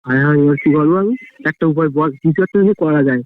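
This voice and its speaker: A man's voice: fairly high pitch, rapid-fire, noisy background. Flustered young man on a tinny phone. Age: young adult. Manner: flustered, repetitive, plaintive. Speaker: friend receiving a prank call. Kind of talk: casual phone chat. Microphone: phone line. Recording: tinny, phone-like call audio.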